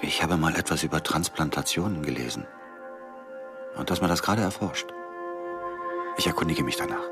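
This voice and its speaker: Very low-voiced terse soldier, music behind. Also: male voice, animated, normal speed, pauses often. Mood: earnest, tender. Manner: terse, clipped short replies. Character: terse, devoted soldier, troubled husband. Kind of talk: German radio drama acting.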